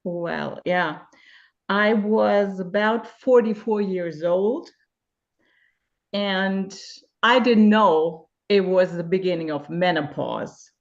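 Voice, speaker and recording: A woman's voice: medium pitch, slow pace, highs cut off. A woman in her fifties from Germany, a guest in a webinar interview, speaking candidly and searching for words with frequent fillers. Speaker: guest sharing her experience.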